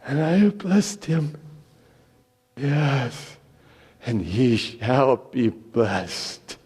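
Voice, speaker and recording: A man's voice: mid-range voice, sing-song, very slow, darkish tone, slightly rough, full character voice. Man acting a solemn old patriarch, big echo. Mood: shaken. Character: old blind patriarch father, solemn, blessing his son. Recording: reverberant church hall.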